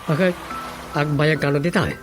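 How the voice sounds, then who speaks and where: Actor as rural Ilocano family man in radio comedy drama: low-key, questioning, casual, conversational delivery. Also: fairly even intonation, moderate pace, over music, natural voice. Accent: Ilocano Filipino.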